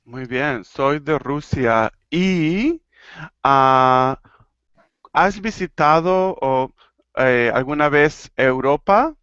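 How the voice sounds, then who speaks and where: A man from Argentina teaching an online Spanish class, playful and clear, slowing down to model phrases. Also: mid-range voice, expressive, a bit bright, dry recording, muffled recording. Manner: playful, laughing, slow and clear when teaching. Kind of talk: live online language class.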